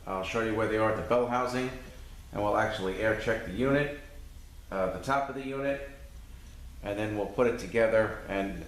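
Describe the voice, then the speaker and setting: A man giving an informal hands-on walkthrough, explaining step by step like a mechanic teaching a repair. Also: fairly low pitch. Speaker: transmission mechanic demonstrating repair. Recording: roomy echoing space, distant mic, faint noise.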